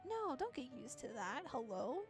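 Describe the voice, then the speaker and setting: A woman's voice: sits high, sing-song, normal speed, music in the background. A playful, giggly woman VTuber reading a visual novel aloud and adding casual commentary. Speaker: VTuber streamer.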